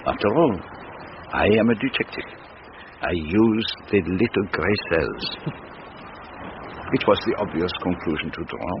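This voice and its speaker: Low, animated detective. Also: male voice, a little slow, pauses often. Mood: shrewd, teasing. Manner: precise, theatrical, self-assured. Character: shrewd, courteous foreign male detective, probing gently. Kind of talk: radio drama acting. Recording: dull radio recording, faint noise.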